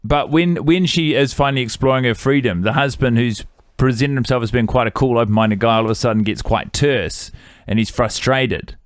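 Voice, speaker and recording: A man's voice: medium pitch, brisk, clear, resonant tone, dry recording, clean studio sound. A man, co-hosting a casual film-review podcast and rambling with fillers and restarts. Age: 30s. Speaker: podcast co-host.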